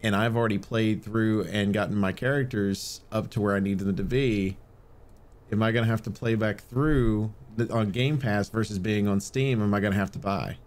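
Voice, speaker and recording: A man's voice: on the low side, fairly even intonation, moderate pace. A man co-hosting a gaming podcast in casual, conversational chat. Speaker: podcast co-host.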